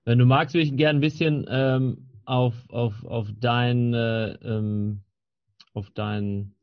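A man's voice: mid-range voice, fairly even intonation, slow pace, no echo. A man interviewing a guest, asking long rambling questions with many restarts and fillers. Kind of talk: casual interview. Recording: dry, dull lo-fi sound.

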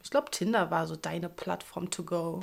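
A woman in her thirties from Berlin hosts a casual podcast. She talks in a playful, teasing way and laughs easily. Her voice sits a little low. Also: moderate pace, vocal fry. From Berlin, Germany. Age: 30s. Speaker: podcast co-host. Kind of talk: casual chat.

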